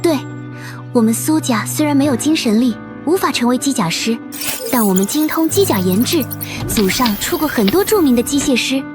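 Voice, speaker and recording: Earnest mechanic's daughter. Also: female voice, sits high, expressive, moderate pace. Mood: eager. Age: young adult. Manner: earnest, urgent. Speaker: heroine. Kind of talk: animated drama dubbing. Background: background music.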